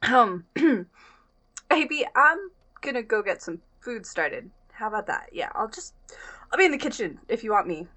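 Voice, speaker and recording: A woman's voice: a little high, big pitch swings, normal speed, sharp tone, exaggerated voice. A woman playing a breezy but uneasy young woman in an audio drama, casual and hesitant. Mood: awkward, breezy. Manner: hesitant, casual, filler-laden. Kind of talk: naturalistic audio drama acting.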